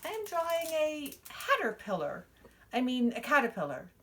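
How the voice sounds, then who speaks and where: Woman as a high, dramatic little storybook girl. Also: huge pitch swings, normal speed, fairly bright tone, a little hiss or hum, full character voice. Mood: bright, flustered. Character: dreamy, dramatic little girl, easily disappointed.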